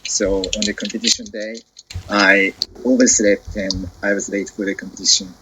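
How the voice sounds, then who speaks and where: A man in his twenties with a Japanese accent, introducing himself carefully and haltingly on a video call. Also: on the low side, steady tone, pushed and bright, slight background noise, rough recording. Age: 20s. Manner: careful, halting, searching for words. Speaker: committee member. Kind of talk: self-introduction in a meeting.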